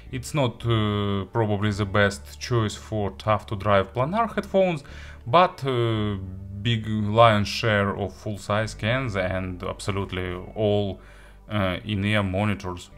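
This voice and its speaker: Halting low-voiced man reviewing gear. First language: not English. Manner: halting, hesitant, frequent pauses. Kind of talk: unscripted product review.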